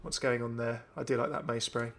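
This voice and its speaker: A man's voice: mid-range voice, fairly even intonation, fairly quick, vocal fry, fairly bright tone, slight background noise. A young man giving casual, enthusiastic commentary on a video game. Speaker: gaming video host.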